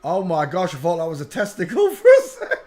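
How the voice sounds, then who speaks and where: A man hosting his own channel, giving brash, scoffing commentary over video clips, with quick asides. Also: high-pitched, wildly swooping pitch, darkish tone.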